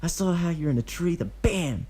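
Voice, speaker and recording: A man reading aloud and voicing a boastful, excitable twelve-year-old boy ninja who keeps tacking on "yeah" and "hmm?". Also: a little high, moderate pace, husky, pitched above the performer's own voice. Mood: excited boast. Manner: boastful, eager, ends lines with "yeah" or "hmm?".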